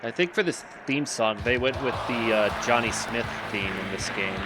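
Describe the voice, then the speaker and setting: A man's voice: medium pitch, normal speed, heavy background noise. A man with a Minnesotan accent giving casual game commentary with friends, joking and teasing as he rambles. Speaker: stream commentator. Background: game music.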